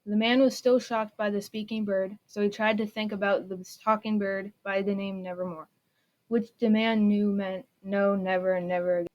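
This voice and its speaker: A woman's voice: medium pitch, level tone, moderate pace, darkish tone, muffled recording. A woman narrator reading a scripted story aloud.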